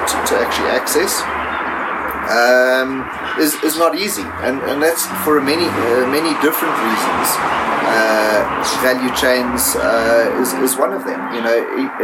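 A middle-aged man from South Africa, raised in Zimbabwe, answering interview questions with many fillers and restarts. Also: mid-range voice. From born in South Africa, raised in Zimbabwe. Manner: hesitant, many fillers and repeated words. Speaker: guest, food entrepreneur. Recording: noisy background.

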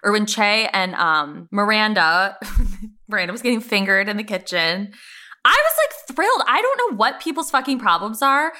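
A woman's voice: mid-range voice, sing-song, normal speed, pushed and bright. A woman co-hosting a podcast, bantering casually about television in an emphatic, slangy, gushing way. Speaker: podcast co-host.

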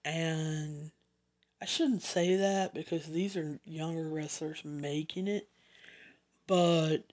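Southern-accented solo podcaster, unhurried, wanders into side chatter, corrects themselves. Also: medium pitch, fairly even intonation, darkish tone. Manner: rambling, chatty asides, self-corrections. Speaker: podcast host. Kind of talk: casual solo podcast rundown.

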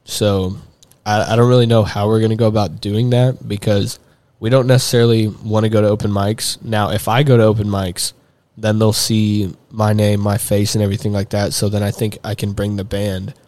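A young man with a drawl talks loosely and at length, leaning on fillers and restarting his sentences. His voice sits a little low, and it is recorded close and dry with no room sound. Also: level tone, moderate pace, hardly any pauses, a little mellow, dry recording. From Texas. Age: young adult. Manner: rambling, many fillers and restarts. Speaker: guest. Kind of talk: casual chat.

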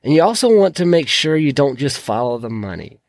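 A man hosting a solo podcast, his voice croaky from a sore throat, talking in an earnest, encouraging way. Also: mid-range voice, big pitch swings, fairly quick, a little mellow, no room sound. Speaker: podcast host.

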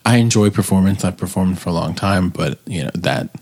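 A man's voice: low-pitched, lively intonation, brisk, darkish tone, husky. A middle-aged man co-hosting a podcast, talking enthusiastically in casual chat and laughing easily. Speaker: podcast co-host.

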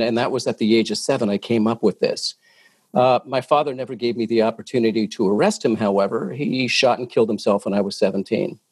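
Measured Canadian man, dry close sound. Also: mid-range voice, a little mellow, no room sound. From Ontario, Canada. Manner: measured, matter-of-fact, with many fillers. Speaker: detective and hostage negotiator, guest. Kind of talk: podcast interview, storytelling.

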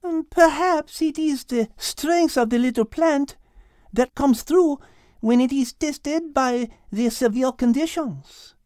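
Elegant high-pitched French lady cat. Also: takes it slowly, mellow, dry recording, studio quality. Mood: pensive. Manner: precise, enthusiastic explaining. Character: elegant female French cat, clever, botany-loving teacher. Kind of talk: children's audiobook character voice.

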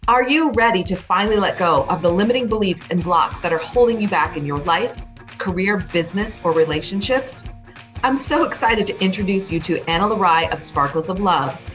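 A woman's voice: medium pitch, lively intonation, fairly quick. Upbeat woman reading a promo. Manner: upbeat, persuasive. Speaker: ad announcer. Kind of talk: scripted promo read. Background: soft music.

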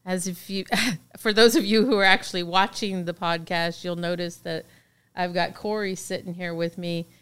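A woman's voice: on the low side, steady tone, normal speed, a bit bright, clean tone. A middle-aged woman hosting a podcast in a chatty, casual way, laughing easily. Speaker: podcast host.

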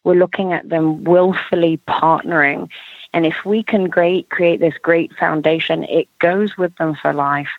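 A woman's voice: on the low side, steady tone, measured pace, no echo. A woman horse trainer explaining her methods in an interview, speaking emphatically in lists of steps. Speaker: horse trainer and clinic instructor. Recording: band-limited phone line.

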